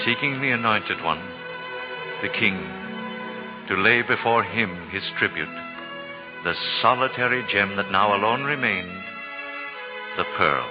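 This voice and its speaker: A man giving solemn, theatrical scripted narration in the style of 1940s radio drama. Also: lowish voice. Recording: muffled old radio, loud music behind. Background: loud music.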